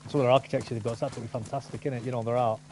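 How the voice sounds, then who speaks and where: Rambling opinionated man, fast. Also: mid-range voice, flat delivery, darkish tone. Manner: rambling, opinionated, many restarts and fillers. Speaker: gamer chatting with a friend. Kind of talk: casual chat over gameplay.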